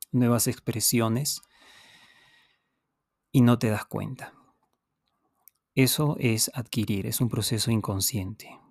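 A man who is a native Spanish speaker hosts an instructional podcast as a teacher, explaining deliberately with pauses and repetition and speaking directly to the listener. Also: on the low side, creaky, darkish tone, no echo, clean studio sound. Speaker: podcast host and language teacher. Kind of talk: unscripted instructional podcast monologue.

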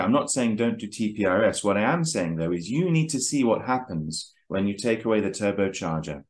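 A man hosting a chat, explaining ideas at length in a measured lecturing way before interviewing a guest. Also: lowish voice. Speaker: host.

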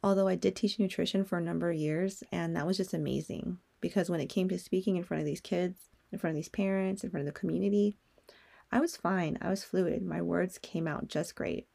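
A woman's voice: fairly low pitch, fairly even intonation, fairly quick, creaky, mellow. A young woman hosting a solo podcast, talking in a candid, personal way and swearing now and then. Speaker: podcast host.

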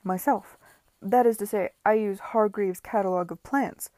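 Brief: female reader doing a frazzled, excited man, loud and hurried, mellow tone. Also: mid-range voice, no echo, lightly acted. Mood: eager. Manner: loud, eager, rushed. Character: flustered excitable gentleman builder, scattered but eager.

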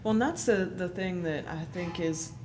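A woman's voice: lowish voice, normal speed. A woman who sings, talking casually and agreeably in conversation, with short affirmations along the way. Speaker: singer and musician. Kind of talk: casual conversation about singing. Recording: clearly noisy background ambience.

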